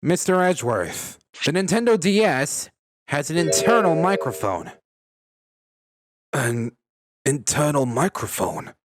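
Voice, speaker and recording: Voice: mid-range voice, very animated, unhurried, long pauses, dry recording. A solo performer voicing a cocky, earnest male defense lawyer from a video game, declaiming emphatically. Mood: emphatic. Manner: emphatic, declamatory, shouts accusations. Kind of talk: fan dub courtroom comedy, dramatic video game acting.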